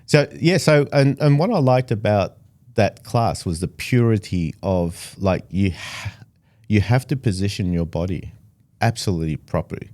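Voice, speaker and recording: A man's voice: lowish voice, normal speed, a little mellow. A middle-aged Australian man, first language Italian, talking casually as an interview guest with many fillers and restarts. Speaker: engineer guest.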